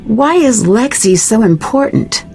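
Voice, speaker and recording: An actress playing an inquisitive, composed young detective in a video game, probing and matter-of-fact. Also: female voice, fairly high pitch, lively intonation, a little slow, gravelly, a little mellow, soft background music.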